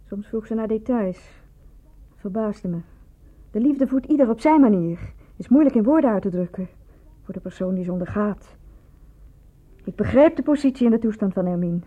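A woman's voice: medium pitch, lively intonation, normal speed, lightly acted. An actress in a radio play voicing a lovestruck, self-reproaching provincial woman, speaking in a reflective, confessional manner. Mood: wistful. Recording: thin, phone-like old radio recording.

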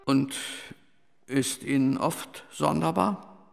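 An actor playing an authoritarian army doctor in a radio play, questioning with deliberate scepticism in a large reverberant room. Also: male voice, medium pitch, gravelly. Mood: probing, sceptical. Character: probing, authoritarian male army doctor examining a recruit. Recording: long hall reverb.

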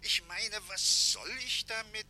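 A pompous company director in a German radio drama. His voice is piercingly forceful and fairly high for a man, swinging widely in pitch, with emphatic, callous dismissiveness. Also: lively intonation, measured pace, exaggerated voice. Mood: annoyed, officious.

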